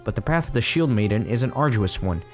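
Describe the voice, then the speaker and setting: A man reading the lines of a worried leader of a crowded refugee village, in a solemn, plain reading voice at middle pitch. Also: lively intonation, fast, darkish tone, soft background music, natural voice. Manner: solemn, plainly read quest text.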